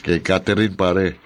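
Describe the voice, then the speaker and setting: A man playing an evasive, smug cheating husband in a Filipino radio drama. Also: deep voice.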